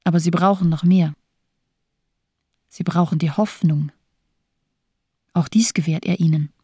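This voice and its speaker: Radio drama: jaded female physician, sardonic and eloquent, subdued dark timbre, very dry close sound. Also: on the low side, fairly even intonation, unhurried, husky, no room sound. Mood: grim, scornful. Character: bitter, cynical morphine-addicted female hospital doctor.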